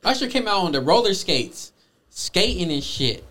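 A man's voice: sits high, very animated, normal speed, sharp tone. A man co-hosting a podcast in casual chat, blunt and slangy, arguing his points and swearing freely. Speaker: podcast co-host.

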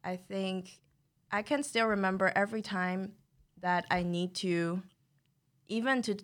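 A young woman from Taiwan, a native Mandarin speaker, talking as a podcast guest in a thoughtful, measured way. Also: mid-range voice, fairly even intonation. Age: young adult. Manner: thoughtful, measured, uses "like" as filler. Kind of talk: casual chat.

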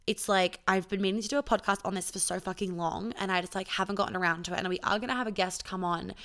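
A woman's voice: medium pitch, fairly even intonation, fast, a bit bright. A young woman hosting a casual solo podcast as a coach, candid and chatty, swearing freely and filling her talk with "like". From born in Australia, raised in New York, Sydney and Melbourne. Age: young adult. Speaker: podcast host and intimacy coach.

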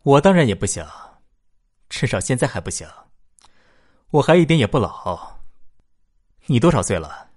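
A man acting in a sci-fi radio drama as a thoughtful, long-lived future human, reasoning emphatically with a slightly husky voice. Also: mid-range voice, big pitch swings, moderate pace, long pauses, darkish tone. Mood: reflective. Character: far-future man nearly two hundred, youthful, pondering humanity's fate.

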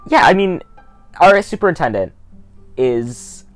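Animated teenage American boy hosting a podcast. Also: fairly high pitch, a little slow, soft background music. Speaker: podcast host and interviewer. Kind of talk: casual podcast interview.

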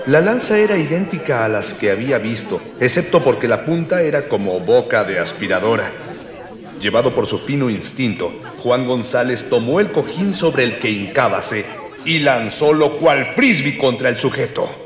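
A man's voice: mid-range voice, close to an everyday voice. A man with a Mexican accent playing a pompous, grandiloquent narrator of a mock-heroic radio adventure serial. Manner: pompous, grandiloquent, archaic phrasing. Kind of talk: florid old-fashioned radio serial narration. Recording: roomy reverberant sound with crowd behind.

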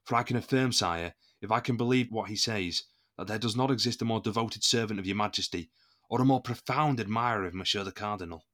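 A man acting as a proud, defiant captain of musketeers with a lowish, hard-edged voice. He speaks in formal, courtly sentences with indignant weight, loyal to his men, in a period audio drama. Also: brisk, fairly bright tone, lightly acted. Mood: formal, assured.

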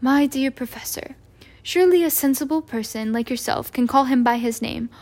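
Kindly old wizard guy, slow and mild, lady reading him aloud. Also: sits high, a little mellow. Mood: gentle. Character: old male wizard headmaster, calm, gently amused.

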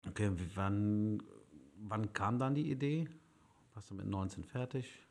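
Low-voiced man hosting a chatty podcast. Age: 30s. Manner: chatty, teasing with quick jokes. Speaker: podcast host. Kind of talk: casual podcast interview.

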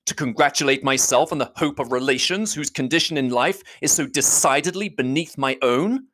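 A reader voicing a proud, stiff gentleman who speaks in a measured, contemptuous, slow way. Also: medium pitch, pitched above the performer's own voice, close to an everyday voice. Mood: cold. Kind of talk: audiobook reading.

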